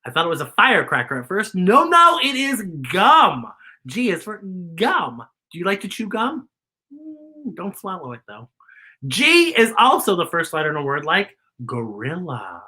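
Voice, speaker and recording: A woman's voice: lowish voice, wildly swooping pitch, moderate pace, sharp tone, husky. A woman hosting a children's story time in a playful, theatrical, exclamatory way with campy sass and bits of singing. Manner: campy drag-style sass. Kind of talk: playful kids' show presenting with singing.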